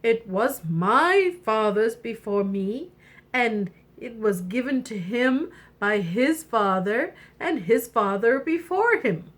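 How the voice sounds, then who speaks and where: A woman voicing a fatherly, solemn storybook king who speaks in a measured, earnest way. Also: a little high, expressive, voice pushed down. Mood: solemn, proud. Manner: measured, earnest, stressing words. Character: fatherly, solemn, doting old-fashioned king.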